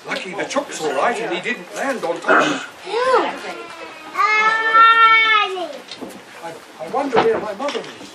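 Eager, animated boy puppet acted by a puppeteer, addressing kids in an outdoor show over a noisy crowd. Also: mid-range voice, brisk, natural voice. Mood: excited. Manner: addresses the children directly. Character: Jack, eager boy puppet with magic hen. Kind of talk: live children's puppet show. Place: outdoor puppet booth in a garden. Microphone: far camera. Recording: distant camera, noisy outdoor children's crowd.

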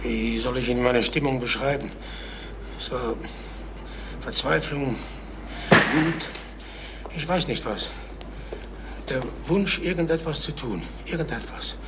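A man playing a distraught travelling salesman near sixty in a German crime radio drama, halting and repeating himself as he broods. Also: medium pitch, noisy background. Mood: brooding despair. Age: late 50s.

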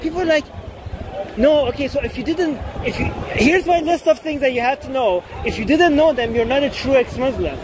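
Man, thirties, very high voice, animated debate, handheld phone mic, outdoor park, crowd chatter and traffic noise. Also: a little fast. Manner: animated, gesturing, pressing points. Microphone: shotgun mic on handheld phone rig.

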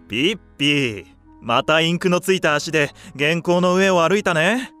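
Gentle, lightish-voiced window cleaner. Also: male voice, on the high side, slow pace, soft background music. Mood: tender, fond. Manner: tender, fond. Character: gentle, affectionate bookish male window cleaner. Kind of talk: audio drama acting.

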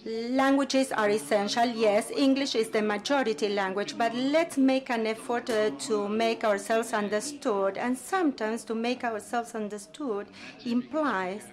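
A woman's voice: fairly high pitch, measured pace. A woman working as a simultaneous interpreter, rendering a speech into English. She speaks steadily, with frequent fillers and self-corrections.

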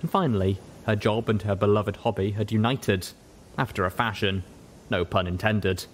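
A man's voice: lowish voice, moderate pace, slight background noise. A man reading first-person fiction aloud as a scripted audiobook narration. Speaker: audiobook reader.

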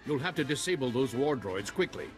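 An actor playing a grave, commanding Jedi commander in a video game, measured and serious. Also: male voice, mid-range voice, animated, close to an everyday voice. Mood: urgent. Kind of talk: video game cutscene acting. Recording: music behind the voice.

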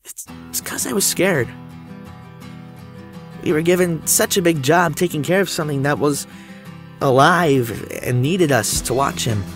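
A woman's voice: sits low, a little slow, long pauses, music in the background. A woman voicing an anxious, scatterbrained teenage schoolboy in an audio drama, hesitant and exclamatory. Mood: worried, sincere.

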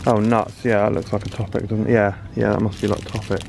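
Gravelly British man chatting in a supermarket. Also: lowish voice, flat delivery, quick pace, a little mellow, slight background noise. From United Kingdom. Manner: chatty, curious, exclaiming over products. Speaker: food vlogger. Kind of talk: casual running commentary while shopping. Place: large supermarket aisles, indoors.

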